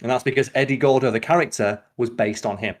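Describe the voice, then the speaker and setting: A man talks very quickly in casual podcast chat. He is opinionated and swears freely, with the loose delivery of a host talking to a friend. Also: medium pitch, steady tone.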